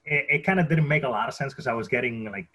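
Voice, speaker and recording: Man with a Puerto Rican accent, halting storyteller. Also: medium pitch, pushed and bright. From Puerto Rico. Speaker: podcast guest. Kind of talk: conversational personal storytelling.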